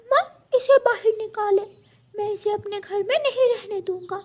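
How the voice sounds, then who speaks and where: Innocent cartoon chick, tiny squeaky voice, extremely high, childlike, a bit whiny. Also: female voice, normal speed, a little mellow. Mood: indignant. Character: small innocent baby bird child. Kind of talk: children's cartoon story dubbing.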